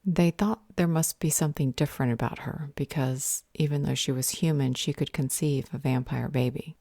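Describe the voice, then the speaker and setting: A stern but protective female vampire healer, acted by a woman for an audio roleplay. Her voice is low and dark, recorded close and dry, and she speaks with measured, earnest firmness. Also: vocal fry, no room sound. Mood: firm, guarded.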